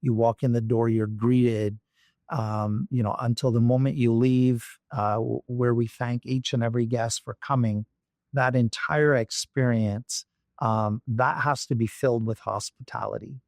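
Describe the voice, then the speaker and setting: A man's voice: mid-range voice, fairly even intonation, a little slow, a little mellow, no room sound, clean studio sound. A man from Barbados, a company executive, speaking as an interview guest in a thoughtful way with many fillers and restarts. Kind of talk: interview conversation.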